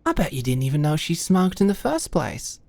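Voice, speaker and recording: A woman's voice: low voice, huge pitch swings, moderate pace, creaky, a little mellow, no room sound, heavily acted. A woman playing a composed, wry senior corporate mentor who muses and confides in a scripted ASMR audio roleplay. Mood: fond, wry.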